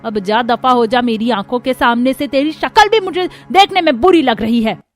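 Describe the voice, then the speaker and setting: A woman voicing a cruel stepmother in an animated family melodrama, scolding and taunting. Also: on the high side, moderate pace, lightly acted. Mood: scornful scolding. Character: cruel, scornful stepmother bullying her stepdaughter.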